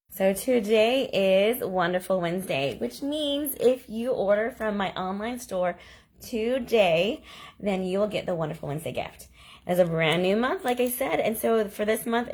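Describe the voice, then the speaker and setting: A woman hosting a stamping craft video, chatting enthusiastically as she shows cards, her voice slightly hoarse from a lingering cough. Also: mid-range voice, a little mellow. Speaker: stamping demonstrator and channel host. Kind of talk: casual crafting video walkthrough.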